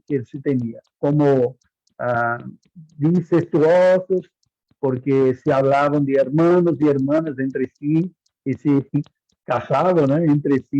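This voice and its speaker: A man teaching a Bible course in Spanish as his second language, halting and repeating words as he lectures and asks his students questions. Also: on the high side, warm tone, no room sound. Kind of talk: online class lecture. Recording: muffled lo-fi audio.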